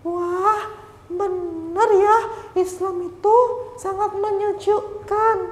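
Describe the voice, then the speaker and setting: A woman voicing a young woman figure in a children's story, speaking shrilly, fast and emphatically. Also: extremely high pitch, fairly even intonation, voice pushed up. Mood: earnest. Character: upset then tender young woman Hindun. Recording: echoey hall reverb.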